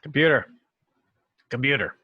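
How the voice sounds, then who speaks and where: A man's voice: mid-range voice, normal speed, husky, muffled recording, close to an everyday voice. A man playing a cocky, casual starship captain in an amateur sci-fi comedy table read. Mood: cocky, insistent. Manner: casual, self-assured.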